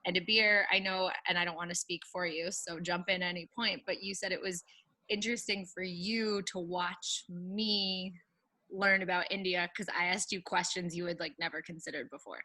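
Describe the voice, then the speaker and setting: An American woman in her thirties from upstate New York, chatting casually and telling personal stories as an interview guest in a candid, joking, animated way. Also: lowish voice, normal speed. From upstate New York, United States. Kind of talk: casual chat, personal storytelling.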